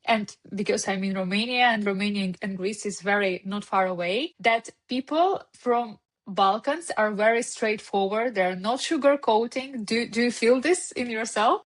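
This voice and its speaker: A woman's voice: mid-range voice, moderate pace, hardly any pauses, poor audio. A woman with a Ukrainian accent hosting a podcast, asking questions eagerly in non-native phrasing. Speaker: podcast host. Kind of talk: interview questions.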